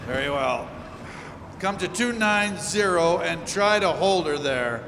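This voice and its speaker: A man playing a steady, reassuring steamship captain in a radio drama, giving orders with small hesitations. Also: on the high side, slow pace, heavily acted. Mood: concerned, commanding. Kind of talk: stage radio drama acting. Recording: echoey hall, clear background noise.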